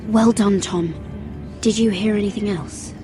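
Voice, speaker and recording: A guarded young noblewoman at court, played by an actress, speaks politely and with measured care. It is dramatic game dialogue at a middle pitch, with music clearly behind it. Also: close to an everyday voice. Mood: businesslike. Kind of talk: dramatic video game acting.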